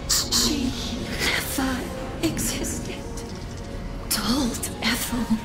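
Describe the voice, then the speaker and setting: An actress playing a bereft, bitter mother in a video game, speaking in broken, halting, grief-stricken phrases. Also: sits high, exaggerated voice. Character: bereft, bitter mother mourning her baby girl. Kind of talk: video game character acting.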